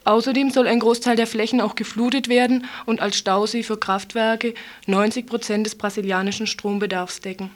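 A woman radio reporter narrating a scripted news report. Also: medium pitch.

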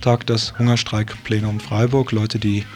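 A man presenting on live radio in an informal, halting way, doing interviews and reading out event notices. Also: on the low side, little pitch movement, a little mellow, soft background music. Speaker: radio host. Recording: 1980s local radio broadcast.

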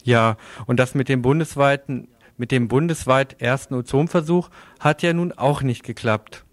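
A man's voice: medium pitch, moderate pace, dry recording. A man presenting a scripted radio news commentary in a sardonic manner, putting rhetorical questions to listeners.

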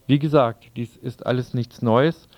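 A man's voice: medium pitch, fairly even intonation, measured pace, no room sound, muffled recording. A man reads a scripted political text aloud as a radio presenter. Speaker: radio presenter reading quotations.

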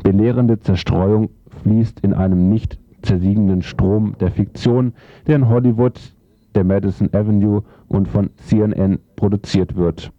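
Male narrator, low voice, formal declarative reading of scripted essay prose, lo-fi recording. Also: fairly even intonation, a little slow, no room sound. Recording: dull lo-fi sound.

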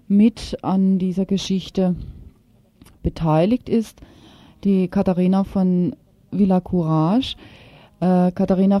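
A woman's voice: mid-range voice, warm tone, no room sound. A woman introducing a guest as a radio interviewer, speaking semi-spontaneously on a lo-fi recording.

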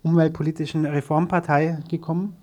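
A man's voice: a little high, level tone, a little fast, darkish tone. A man hosting an informal radio interview as presenter.

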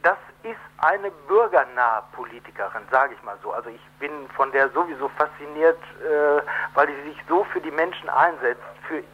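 A man speaking as an activist guest in a live radio interview, halting, earnest and colloquial, over the telephone. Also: fairly high pitch, husky. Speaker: activist guest from an unemployed group. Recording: muffled, dull telephone line.

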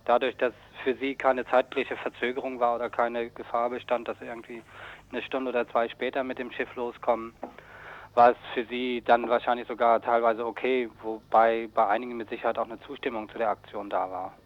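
Man, mid pitch, activist interviewee, matter-of-fact, explanatory, informal, slightly muffled radio recording. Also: flat delivery, a little fast.

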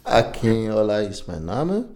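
Slow, gravelly man. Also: on the low side, very animated, over loud music. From Nigeria. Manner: hesitant, searching for words, with fillers and repeats. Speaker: interviewee, association chairman. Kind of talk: interview recounting experiences.

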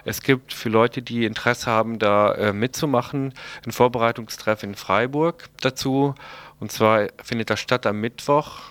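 A man presents a radio news report in a mid-pitched voice. He reads plainly from a script, with an occasional stumble. The sound is dry, with hardly any room to it. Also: fairly even intonation, moderate pace, fairly bright tone, clear, resonant tone, no room sound. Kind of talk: read news report on a local left-wing radio show.

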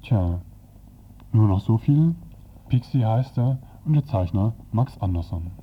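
A man's voice: fairly low pitch, expressive, measured pace, slightly rough. A man reviewing and narrating a comic as a radio presenter. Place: indoors, radio show. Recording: slightly dull, lo-fi radio recording.

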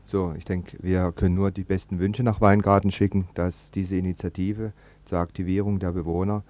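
A man's voice: deep voice, level tone, moderate pace, a little mellow. A man presenting on radio with spontaneous commentary, often rephrasing as he goes. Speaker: radio host.

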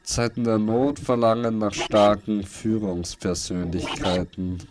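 A man reading scripted literary narration for a post-apocalyptic radio play, in deliberate, fragmented phrases that repeat words. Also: fairly low pitch, level tone, noisy background.

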